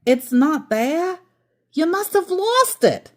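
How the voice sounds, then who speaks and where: A woman's voice: very high-pitched, big pitch swings, slow, voice pushed up, heavily acted. A woman voicing a bossy, complaining old great-aunt from a children's cartoon story, with a commanding, emphatic manner.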